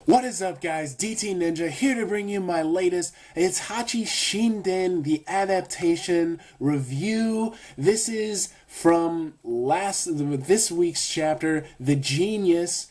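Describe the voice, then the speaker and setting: A man's voice: high voice, takes it slowly, hardly any pauses, a little mellow. A man reviewing an anime episode in a casual video, talking with many fillers and restarts while a bit sick.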